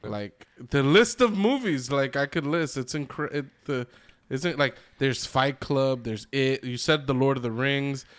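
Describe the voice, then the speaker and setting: A man's voice: mid-range voice, unhurried. A man co-hosting a podcast in casual banter, talking in an emphatic, exaggerating, slangy and sweary way. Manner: emphatic, hyperbolic, slangy and profane. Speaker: podcast co-host.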